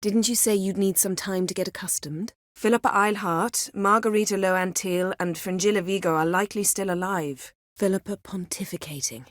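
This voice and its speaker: A woman voicing a proud, sharp-tongued sorceress in a video game, speaking in a cool, measured, sardonic and commanding manner. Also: mid-range voice, fairly even intonation, dry recording, studio quality, close to an everyday voice. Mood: serious, composed.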